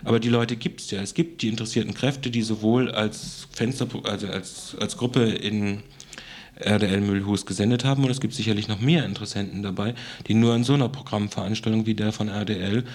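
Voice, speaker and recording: Low-voiced man, halting and wordy. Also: a little mellow. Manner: hesitant, halting, long winding sentences. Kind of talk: spontaneous spoken commentary.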